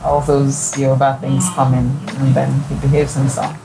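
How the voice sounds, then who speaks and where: A woman's voice: a bass voice, flat delivery, moderate pace, gravelly, background noise. A woman, a podcast guest, chatting casually and teasing lightly. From Nigeria. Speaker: guest, wife.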